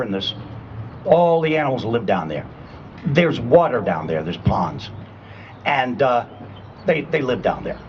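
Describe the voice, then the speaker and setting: A man's voice: on the high side, dull audio, a little hiss or hum. A man in his sixties with a New England accent, a retired Army man giving a conversational, emphatic speech at a public rally. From Rhode Island and Connecticut, US. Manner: conversational and emphatic, checks in with "okay?". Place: public rally at a state capitol.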